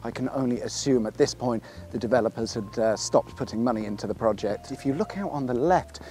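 A man's voice: medium pitch, fairly quick, warm tone. An English man in his thirties with a dry, sardonic manner, presenting and narrating from a script. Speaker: presenter of an exploration series. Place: outdoors on an empty open road.

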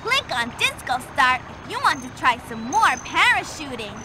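A woman's voice: high-pitched, very animated, moderate pace. A woman voicing a cheerful young girl explorer in a children's video game, talking to the player and encouraging them. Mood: guiding.

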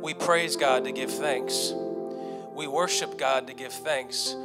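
A man's voice: medium pitch, steady tone, slow pace. An American man in his thirties preaching a sermon as a pastor, folksy and earnest, speaking into a handheld microphone. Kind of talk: sermon to an online congregation. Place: small indoor church stage room. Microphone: handheld microphone held close. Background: keyboard music.

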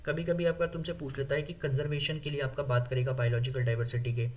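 A man with an Indian accent teaching an exam-prep lesson, explaining with many fillers, reading English lines slowly and asking viewers questions. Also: medium pitch, level tone, slight background noise. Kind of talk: exam-prep lecture over slides.

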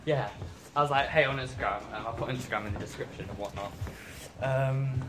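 A man's voice: medium pitch, fairly quick. A teenage boy vlogging in a school corridor, chatty and cheeky, joking and swearing as he walks. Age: teens. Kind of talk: casual school vlog. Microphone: phone held in hand. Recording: noisy phone audio.

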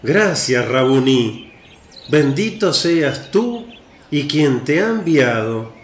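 A man's voice: medium pitch, sing-song, takes it slowly. An actor playing a humble old father in a radio play, reverent and emotional. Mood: reverent, grateful. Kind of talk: Spanish-language radio play acting. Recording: roomy echo, faint birdsong noise.

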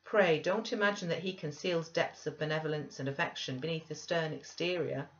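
A woman voicing an imperious, sharp-tongued young married gentlewoman in an audiobook, emphatic and scornful. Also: deep voice, a little fast, lo-fi sound. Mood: emphatic warning. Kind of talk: dramatized audiobook reading.

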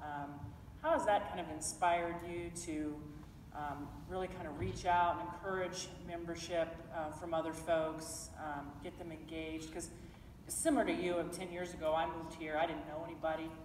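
Low-voiced woman moderator in a reverberant hall. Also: fairly even intonation, normal speed, darkish tone, slight background noise. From Montana. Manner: conversational, with many fillers like "kind of" and "you know". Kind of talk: onstage fireside chat. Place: large indoor event hall with an audience. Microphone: recorded by a far camera across the room. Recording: roomy hall echo recorded from far off.

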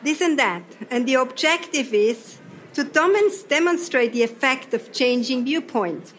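A woman's voice: sits high, animated, measured pace, fairly bright tone, a little hiss or hum. Austrian woman leading a workshop talk. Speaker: seminar trainer. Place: event hall.

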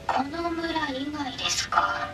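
High-voiced, gentle robot villager. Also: female voice, sing-song, slow, heavily acted. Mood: polite, helpful. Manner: courteous, soft-mannered. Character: gentle, polite, helpful peaceful robot villager. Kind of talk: Japanese game voice acting. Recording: loud music behind.

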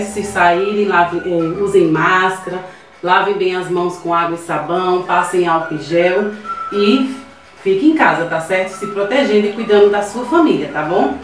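A woman in her thirties, a preschool teacher with a Brazilian Portuguese accent, talking a class of young children through worksheets patiently and often checking in with them. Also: mid-range voice. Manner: patient, repeats "tá certo?" to check in. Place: small classroom with whiteboard, indoors. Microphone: camera across the room. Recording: roomy sound from a distant camera, soft music.

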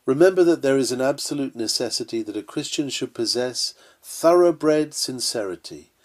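A man's voice: medium pitch, lively intonation, normal speed. Earnest man reading an old sermon. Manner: earnest, oratorical delivery. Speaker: sermon reader.

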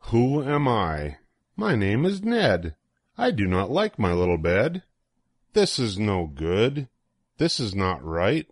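An older man reading a children's picture book aloud in an expressive, sing-song way with deliberate pauses. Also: fairly low pitch, no room sound, studio quality. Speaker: storybook reader.

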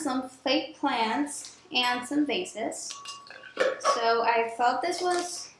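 A young woman vlogger chatting casually and informally to viewers from a room at home, drawing out some words. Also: high voice. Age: young adult. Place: echoey home office room, indoors. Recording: echoey room, clear background noise.

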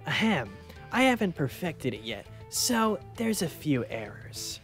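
A man plays an awkward anime inventor in a suit, flustered and overdone. His pitch jumps around as he delivers visual novel dialogue over music. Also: sing-song, unhurried, fairly bright tone, full character voice. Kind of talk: visual novel character dubbing. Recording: music behind the voice.

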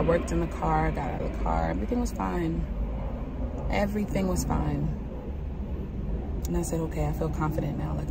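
A young woman with a lowish voice chats candidly into a phone held at arm's length, mostly from inside a parked car. Her tone stays upbeat and talkative. Clear background noise sits under her voice. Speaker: vlogger. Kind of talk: casual vlog chat.

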